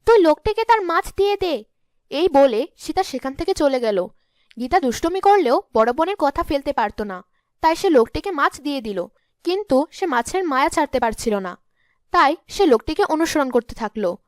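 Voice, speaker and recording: A woman's voice: high-pitched, expressive, moderate pace, no echo, studio quality. A woman narrating a scripted cartoon story as the storyteller.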